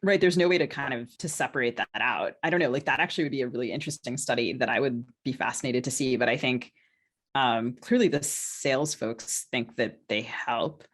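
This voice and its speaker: A woman's voice: a bass voice, fairly quick. Woman podcast co-host, hesitant and discursive. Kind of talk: casual podcast conversation.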